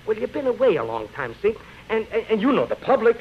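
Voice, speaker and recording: A wheedling showbiz agent, very high swooping voice, folksy slang, muffled old radio play. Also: male voice, big pitch swings, fast talker, breathy edge, exaggerated voice. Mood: cajoling. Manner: wheedling, folksy, hesitant when cornered. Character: slangy, fast-talking male showbiz agent, cajoling and evasive. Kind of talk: 1930s live radio drama acting. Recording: muffled 1930s radio broadcast.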